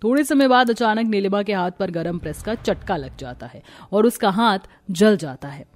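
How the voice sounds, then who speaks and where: A woman's voice: mid-range voice, expressive, normal speed, a little mellow, soft background music. A woman narrating a scripted moral story.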